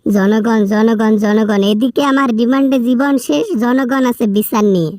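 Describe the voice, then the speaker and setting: A woman's voice: a little high, steady tone, moderate pace, darkish tone, no echo, studio quality, close to an everyday voice. A woman with a Bangladeshi accent playing a whining male prisoner in a comic phone skit, pleading and repeating words in agitation. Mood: despairing. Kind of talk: comic prank-style phone call skit.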